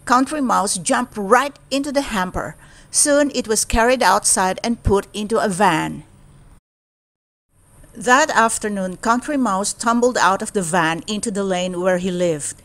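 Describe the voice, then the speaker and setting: A woman storyteller reading a children's storybook aloud in a deliberate, expressive way. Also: medium pitch.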